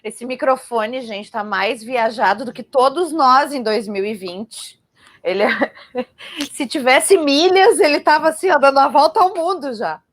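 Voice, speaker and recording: A woman with a southern Brazilian accent hosts a relaxed live interview show. Her voice is a little high, and she speaks in a chatty, playful, informal way. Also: unhurried. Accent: southern Brazilian, Porto Alegre. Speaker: host, journalist.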